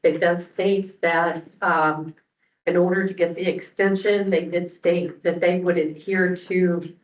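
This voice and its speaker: The sound is distant and roomy, from a public meeting. A woman commissioner speaks formally, slowly and with little change in pitch. Her delivery is halting and deliberate. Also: fairly even intonation, highs cut off.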